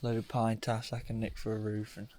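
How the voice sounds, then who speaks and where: A man's voice: on the low side, fast. A young man with a cold and a nasal voice, chatting casually to camera in an offhand way. He records in his car and then outdoors in frozen woods. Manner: relaxed, offhand, says "bloody" a lot. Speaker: vlogger. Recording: noisy background.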